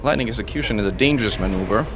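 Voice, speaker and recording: Brief: low-pitched man from Italy, Italian first language, eager running game commentary, clear background noise. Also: very fast. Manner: excitable, talks through each move while playing. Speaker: streamer and commentator. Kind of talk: live gameplay commentary.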